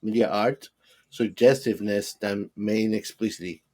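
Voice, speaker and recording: A man's voice: lowish voice, normal speed, darkish tone, no echo. A man co-hosting a podcast, jumping into a casual film discussion with emphatic remarks. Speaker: podcast co-host.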